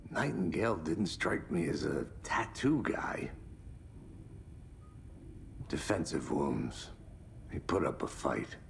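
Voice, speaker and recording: Veteran game detective: very deep, gravelly, slow, wry, deadpan gallows humor. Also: male voice, a little hiss or hum, exaggerated voice. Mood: dry, wry. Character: deadpan, world-weary male detective with dark humor. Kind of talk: video game acting.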